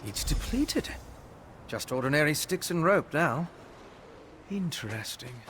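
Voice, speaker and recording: An actor playing an earnest, inquisitive male investigator in a fantasy video game, speaking in a measured, articulate, studious way. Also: a little high, sing-song, background noise. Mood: curious, focused. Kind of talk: video game character dialogue. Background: game music.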